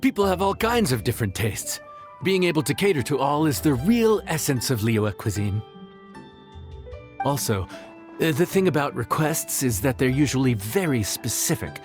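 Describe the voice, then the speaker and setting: Lively, affable cook mentor. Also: male voice, mid-range voice. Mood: reassuring. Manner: encouraging, folksy, explanatory. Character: affable, patient male inn cook mentoring newcomers. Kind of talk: video game cutscene acting.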